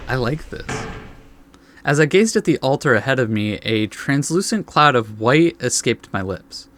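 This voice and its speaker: A man's voice: mid-range voice, expressive, a little slow, fairly bright tone, slight background noise. A man hosting a casual let's play, reading story text aloud and reacting in an informal, laid-back way. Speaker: gaming video host.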